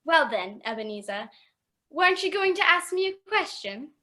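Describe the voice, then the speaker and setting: Very high bright voice, woman acting playful young lady, teasing, forward, radio play. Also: very animated, normal speed, exaggerated voice.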